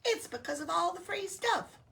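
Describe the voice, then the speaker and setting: A woman's voice: very high voice, big pitch swings, normal speed, gravelly, pushed and bright, pitched above the performer's own voice, full character voice. A woman voicing a gleeful, teasing little male Christmas elf in a storybook read-aloud. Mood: cheerful bragging. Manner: giggly, teasing, exclaiming. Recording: noisy room, distant microphone.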